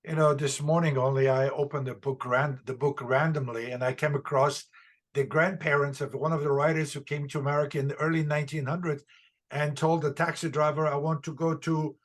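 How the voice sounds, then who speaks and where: Male host interviewer, slightly high voice, halting delivery. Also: flat delivery. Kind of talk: interview conversation.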